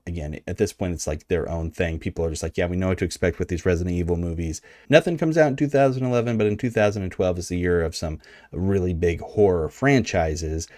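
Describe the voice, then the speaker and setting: A man with a low, mellow American voice gives casual video commentary. He sounds conversational and informal with a wry edge, and the recording is close and dry. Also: no echo. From United States. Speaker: video host.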